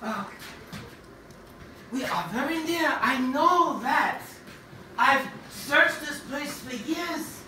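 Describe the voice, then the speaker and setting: A woman's voice: sits high, lively intonation, extremely slow, pauses often, sharp tone, full character voice. A woman acting an obsessed, secretive professor in a stage version of a radio play, with a dramatic, emphatic delivery. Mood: intent, excited. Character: obsessed, secretive professor hunting a buried ancient machine. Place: theatre stage, picked up from afar. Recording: roomy, distant stage recording with faint noise.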